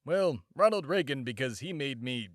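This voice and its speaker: A man's voice: mid-range voice, expressive, normal speed, dry recording, studio quality, natural voice. A man playing a bombastic, self-important immortal embodiment of America in a comedy podcast monologue. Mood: smug. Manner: pompous, shouting announcer bursts, rambling asides.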